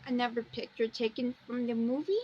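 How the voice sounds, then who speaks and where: A woman giving unscripted, halting commentary on pictures, rambling a little and repeating phrases. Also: on the high side, steady tone, dark tone. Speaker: video host. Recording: dull lo-fi recording with background noise.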